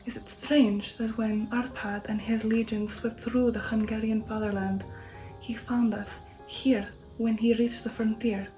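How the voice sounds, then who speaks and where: A woman takes the part of an old vampire count in a gothic audiobook, proud and stately. The delivery is soft and half-whispered with a dark, mellow tone. Its pitch stays quite even as the count declaims. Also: measured pace, soft background music, rough recording. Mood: solemn pride. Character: proud old male vampire count boasting of warlike ancestry. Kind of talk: ASMR audiobook reading of a gothic novel.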